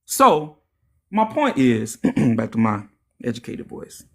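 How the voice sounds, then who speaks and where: A man's voice: mid-range voice, wildly swooping pitch, slow, vocal fry, darkish tone. A young man from Louisiana with a Louisiana accent, hosting a heated livestream debate, lecturing and taunting. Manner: lecturing, mocking, repetitive taunts. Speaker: live stream host.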